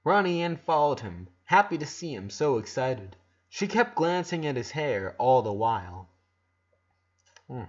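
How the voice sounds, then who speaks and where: A man reads a story aloud in the style of an amateur audiobook. His voice sits at a middling pitch and has a husky, rough edge. The recording is a little dull and lo-fi. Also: big pitch swings, moderate pace. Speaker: narrator reading a story aloud. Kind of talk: amateur audiobook narration of fan fiction.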